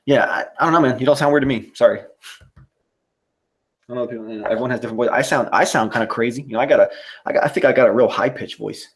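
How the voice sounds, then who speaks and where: A man joins a live stream as a guest and chats in a friendly, easygoing way. His voice has a lot of gravelly fry at an ordinary pitch, and he keeps dropping in "bro". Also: quick pace, pauses often, fairly bright tone. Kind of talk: casual live stream chat.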